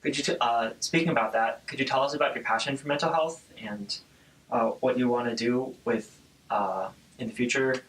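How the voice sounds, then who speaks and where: A man hosting an interview, asking questions in a halting way with frequent uhs. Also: mid-range voice, fairly bright tone, poor audio. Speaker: podcast host.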